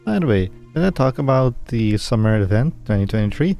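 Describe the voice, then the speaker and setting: Mellow man chatting over a game. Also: medium pitch, very animated, fairly quick, creaky. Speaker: game streamer. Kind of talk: casual let's play commentary. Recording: faint game music behind.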